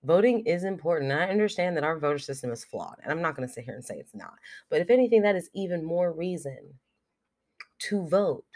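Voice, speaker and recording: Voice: medium pitch, a little mellow. A young podcast host with a cold and a cough drop in the mouth, talking frankly in a casual solo monologue with plenty of fillers and swearing. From United States. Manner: frank and blunt, swears freely, many fillers like "like" and "um".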